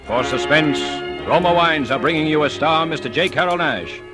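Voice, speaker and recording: A man's voice: fairly high pitch, moderate pace. A male announcer introducing a 1940s radio drama in a formal, declamatory manner. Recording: old radio broadcast over loud music.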